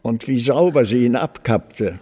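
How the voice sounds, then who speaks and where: A man's voice: mid-range voice, expressive, unhurried, warm tone, husky. A man acting a devoted old servant in a German radio play, humble and effusive, pleading and exclaiming, in a reverberant space. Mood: excited. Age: older. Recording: long reverberant hall.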